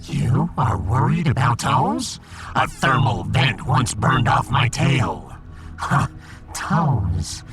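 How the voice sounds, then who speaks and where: A man voicing a pompous, embittered alien fish emperor in a sci-fi audiodrama, with grandiose, theatrical, drawn-out delivery. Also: medium pitch, big pitch swings, over loud music. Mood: bitterly aggrieved.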